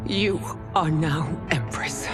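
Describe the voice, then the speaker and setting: A woman voicing a gentle, regal dying empress and mother in a video game, sounding weakened. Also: deep voice, animated, slow, over music. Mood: tender, dying. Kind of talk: video game cutscene acting.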